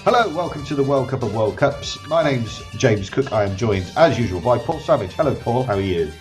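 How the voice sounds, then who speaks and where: A man hosting a casual football podcast, husky from a cold, teasing his co-host and reeling off stats dryly. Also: medium pitch, animated, a little fast, faint music behind. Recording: dull lo-fi remote audio with faint hiss.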